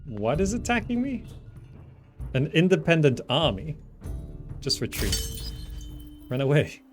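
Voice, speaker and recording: A man's voice: a little high, sing-song, measured pace, soft background music. A man giving casual gameplay commentary, thinking aloud as he plays. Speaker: gaming video host.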